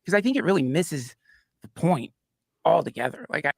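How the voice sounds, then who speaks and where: A man in casual, philosophical podcast chat, halting as he searches for words. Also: a little high, very animated, gravelly, dry recording, clean studio sound.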